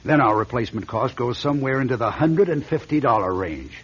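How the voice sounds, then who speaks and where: An actor playing a blunt, cynical older executive who talks down to people in a radio drama. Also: male voice, mid-range voice, expressive, brisk, a bit bright, breathy edge. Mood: cynical, matter-of-fact. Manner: brash, patronizing. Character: blunt, cynical older former company president. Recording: dull lo-fi old radio recording.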